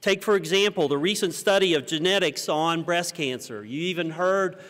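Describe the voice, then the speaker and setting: An American man in his fifties giving a prepared conference keynote at a podium, with measured, rhetorical delivery and deliberate pauses. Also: on the high side, a bit bright. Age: 50s. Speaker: keynote speaker. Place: large conference hall stage, indoors. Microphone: podium microphone. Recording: reverberant hall sound.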